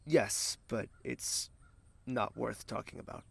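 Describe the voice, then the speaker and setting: Man playing a brooding, slow-spoken, gravelly prince. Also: mid-range voice, no room sound, exaggerated voice. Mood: foreboding. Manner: measured, terse. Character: brooding, commanding young prince, calm and guarded. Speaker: voice actor in audio drama. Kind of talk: dramatic audio drama acting.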